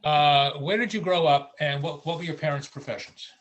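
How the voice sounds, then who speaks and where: A man hosting an interview show on a video call, friendly and a bit halting with fillers. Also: on the high side, fairly bright tone, muffled recording. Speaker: interview host.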